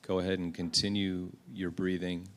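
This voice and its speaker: Deep-voiced pastor leading soft prayer. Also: male voice, little pitch movement, moderate pace. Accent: Norwegian. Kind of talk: guided prayer meditation. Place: church sanctuary.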